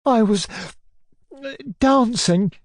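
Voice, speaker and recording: A narrator voicing a frightened, simple young male servant who answers haltingly through smothered sobs. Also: high-pitched, lively intonation, dry recording, studio quality, voice pushed up. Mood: tearful, frightened. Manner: halting, timid short answers. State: sobbing, whimpering. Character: frightened, tormented simple servant lad, meek and tearful.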